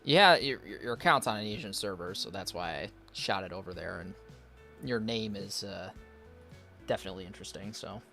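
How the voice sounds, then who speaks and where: A man's voice: sits low, lively intonation, a little fast, vocal fry, bright, forceful tone, faint music behind. A man live-streaming a game, giving casual advice and answering chat in a rambling way full of fillers and restarts. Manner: answers viewer chat between gameplay. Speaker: streamer reviewing viewer game accounts.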